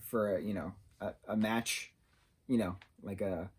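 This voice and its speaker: A man of about thirty giving a casual, unscripted TV review, rambling and halting as he searches for his words. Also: lowish voice, fairly even intonation, slightly rough. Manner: rambling, halting, loses his train of thought. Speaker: TV show reviewer.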